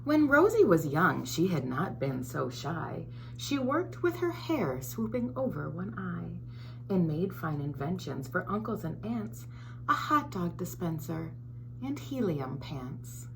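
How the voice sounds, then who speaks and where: A woman, a school board member, reading a children's picture book aloud in an expressive, sing-song storytelling manner, sometimes dropping to a whisper. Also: fairly low pitch, slow, vocal fry, a little hiss or hum, rough recording.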